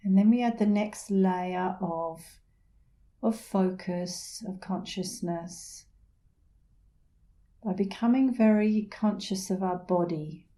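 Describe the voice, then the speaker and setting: A middle-aged woman leading a guided meditation in a soothing, unhurried manner with gentle pauses. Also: medium pitch. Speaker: meditation instructor.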